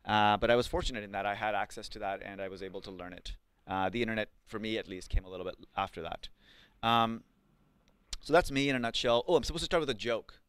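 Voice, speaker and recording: A man's voice: lowish voice, fast talker, fairly bright tone, smooth, no echo. A man from Pakistan in his thirties teaching a live coding class, chatty, with lots of ums and questions to the students. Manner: conversational, many ums and likes, asks the class questions. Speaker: coding bootcamp instructor.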